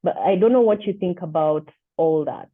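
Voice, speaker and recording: A woman's voice: on the low side, moderate pace. A woman hosting an interview, speaking thoughtfully with hesitant restarts. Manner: thoughtful, hesitant, repeats words when starting. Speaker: podcast host. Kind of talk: conversational interview. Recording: tinny phone-like line.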